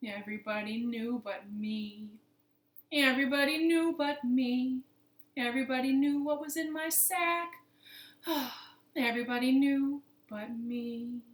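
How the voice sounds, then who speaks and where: Sly male fox for a folktale, voiced by a woman. Smug, lilting delivery, highish pitch, roomy sound. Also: sing-song, measured pace, hardly any pauses, a bit bright, full character voice. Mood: sing-song. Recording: roomy, echoing room sound.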